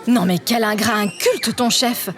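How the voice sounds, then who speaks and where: High-voiced, bright young woman played by an actress. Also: measured pace, some chatter behind, lightly acted. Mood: concerned. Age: young adult. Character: bright, teasing, brainy young woman engineering student. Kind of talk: French audio fiction acting. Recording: music behind the voice. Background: music.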